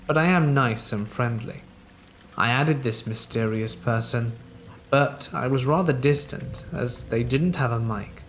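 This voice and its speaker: A man narrates true scary stories in an even, unhurried storytelling read. His voice sits at an ordinary middle pitch for a man. Faint rain patters in the background. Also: slight background noise. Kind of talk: scripted narration of listener stories.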